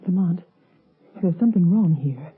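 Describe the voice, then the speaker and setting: A woman's voice: fairly low pitch, expressive, normal speed, breathy edge. An actress playing a polite, anxious young society woman in an old radio mystery, earnest and full of concern. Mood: fearful, urgent. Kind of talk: 1930s-40s radio mystery acting. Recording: muffled, lo-fi vintage radio recording.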